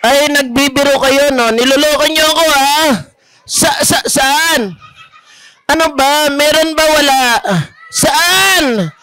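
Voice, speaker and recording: A man voicing a mischievous, excitable young grandson puppet with a Filipino accent and a whiny, exclaiming manner in a children's show. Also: very high voice, slow pace, heavily acted. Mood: puzzled, whiny. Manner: whiny, exclaiming, repeats questions.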